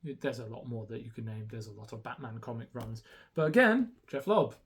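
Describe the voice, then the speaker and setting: A man's voice: mid-range voice, animated, a little fast, a bit bright. A young man whose first language is Romanian, talking casually and hesitantly through a haul with many ums and restarts. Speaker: YouTuber showing a haul.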